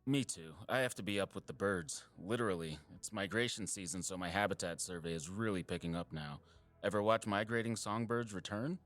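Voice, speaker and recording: An actor playing an earnest, caring male wildlife surveyor in an audio drama, casual, sincere and expressive. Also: low-pitched, brisk, dry recording, faint music behind. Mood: passionate. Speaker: habitat surveyor.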